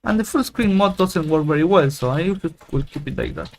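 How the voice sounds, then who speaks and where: Playful man, slightly high voice. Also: lively intonation, fairly quick. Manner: playful, rambling, hums and sings tunes while waiting. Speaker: tech YouTuber. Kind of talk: casual screen-recorded tutorial.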